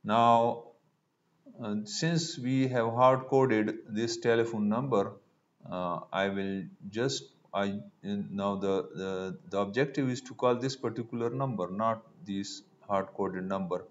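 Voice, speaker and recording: Male tutorial presenter, slow and halting, thinking aloud through each step, lo-fi mic. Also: on the low side, steady tone. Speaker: programming instructor. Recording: dull lo-fi mic with noise.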